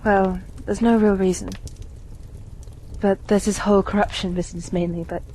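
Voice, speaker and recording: A woman playing a kindly, weary widowed lady in an amateur radio play, with a measured, genteel delivery. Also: medium pitch, flat delivery, mellow, slight background noise, lightly acted, low-quality recording. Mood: gentle, guarded.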